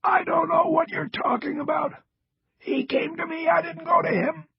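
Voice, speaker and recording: A man voicing a frail, paralyzed male ex-cop in an audiobook, with a strained, raspy, halting wheeze and a defiant temper. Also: very high-pitched, fairly even intonation, dry recording, highs cut off, voice pushed up. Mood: strained, confused. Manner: halting, defiant, foul-mouthed.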